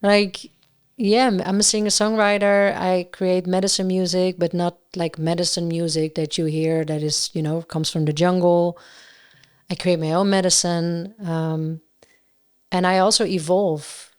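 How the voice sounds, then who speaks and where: A woman with a Dutch accent, a singer-songwriter and guest on a podcast, chatting casually and often pausing to search for words. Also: lowish voice, level tone, darkish tone, smooth. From Netherlands. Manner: searching for words, many fillers and restarts.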